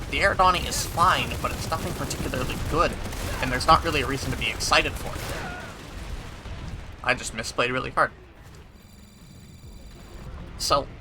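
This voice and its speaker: Man reviewing over noisy game gunfire. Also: mid-range voice. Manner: analytical, talks while playing. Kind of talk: casual gameplay commentary and weapon review. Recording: gunfire and game battle noise behind. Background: video game gunfire and vehicles.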